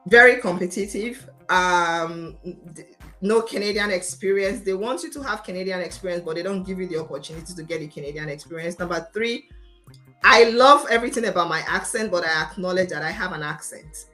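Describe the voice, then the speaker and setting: A confident Nigerian woman on an online interview show, telling her story emphatically in a fairly low voice over music. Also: brisk, sharp tone. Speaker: interview guest, lawyer. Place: remote video call.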